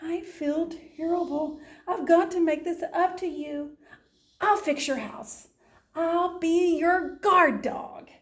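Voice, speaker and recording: A woman voicing a cheerful, chatty female dog who talks eagerly and bounces up high. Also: takes it slowly, echoey room.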